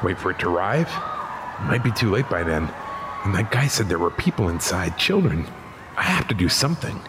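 Man playing a low-voiced, urgent New York cop. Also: very animated, fairly quick. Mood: anxious resolve. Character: tough, foul-mouthed New York police officer, urgent and worried. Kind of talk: audio drama acting. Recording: noisy city street with busy crowd. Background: street ambience and crowd.

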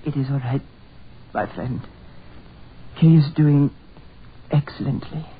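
A man's voice: medium pitch, slow pace, slightly rough, heavily acted. An actor playing an erudite, proud Frenchman in a 1940s radio horror play, speaking formal, eloquent English with a French accent. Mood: pained, steady. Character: erudite, proud Frenchman driving foreign travellers, moodily eloquent. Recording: muffled, noisy 1940s radio recording.

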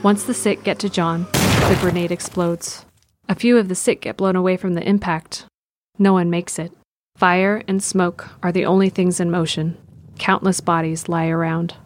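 A woman's voice: lowish voice, fairly even intonation, moderate pace, a little hiss or hum. A woman reading scripted present-tense narration for an audio drama.

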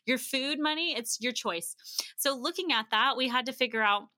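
Bright, high-voiced woman telling casual stories. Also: normal speed, dry recording, clean studio sound. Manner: animated, peppers stories with quoted dialogue. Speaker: podcast guest. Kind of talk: casual conversational storytelling.

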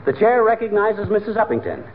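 High-pitched, blustering small-town official. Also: male voice, huge pitch swings, a little fast, crowd noise. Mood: officious. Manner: pompous, blustery, mangles grand phrases. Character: blustering, self-important small-town fire commissioner. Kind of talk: 1940s radio comedy acting. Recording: tinny, noisy old radio broadcast.

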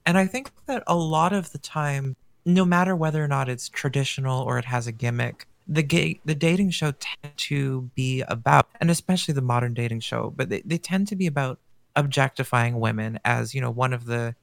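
A man's voice: medium pitch, fairly quick, creaky. A man co-hosting a podcast, giving his take on a dating show in a casual, thoughtful way with rhetorical questions. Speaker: podcast co-host.

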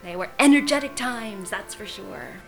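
A woman's voice: fairly high pitch, lively intonation, moderate pace, soft background music. A woman playing an arch, pointed middle-aged wife who coolly needles her friend in an audio drama. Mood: wry, nostalgic.

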